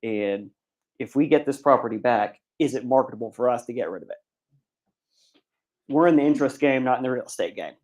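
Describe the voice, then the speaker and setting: A man's voice: medium pitch, a little mellow. A man who works as a bank commercial lender, giving a seminar lecture in a plain, explaining way with many ums and uhs. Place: seminar room.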